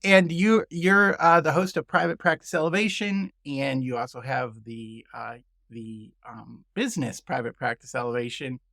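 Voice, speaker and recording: A man's voice: medium pitch, steady tone, normal speed, vocal fry, few pauses, pushed and bright, no room sound, studio quality. A man hosting a podcast in a conversational style, friendly and informal as he introduces guests and segments. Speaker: podcast host.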